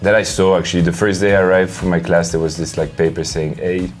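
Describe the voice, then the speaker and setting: A young musician chats in a relaxed interview format. His voice is very deep. Some music plays softly underneath the conversation. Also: fast. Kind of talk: casual interview chat. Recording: music playing behind the talk.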